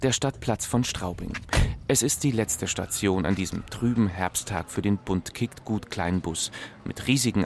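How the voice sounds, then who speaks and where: A man narrating a radio documentary in a measured, clear storytelling manner. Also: fairly low pitch, darkish tone, a little hiss or hum. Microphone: close studio mic.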